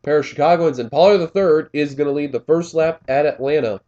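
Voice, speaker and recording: A man's voice: a little high, fairly quick, warm tone, dull audio. A man with a slightly scratchy voice doing casual play-by-play commentary of a race, rambling with fillers and correcting himself as he goes.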